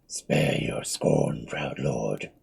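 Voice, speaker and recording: A man voicing an ancient, sinister giant mummy, speaking slowly and low with a breathy edge. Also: a little mellow, full character voice. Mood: menacing. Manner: slow, low and menacing, sinking to a whisper. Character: ancient gigantic mummy, male, sinister and mocking.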